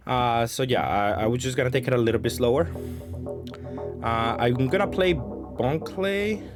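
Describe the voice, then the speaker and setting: A man giving casual, unscripted card game commentary as a host, thinking aloud with some hesitation. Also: mid-range voice.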